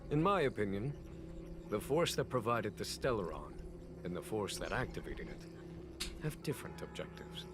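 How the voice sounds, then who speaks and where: An actor voicing a grave, learned general in a game, recounting ancient history in measured, formal speech. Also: male voice, on the low side, animated, close to an everyday voice. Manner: measured, formal, weighty storytelling. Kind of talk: solemn scripted game dialogue. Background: game music.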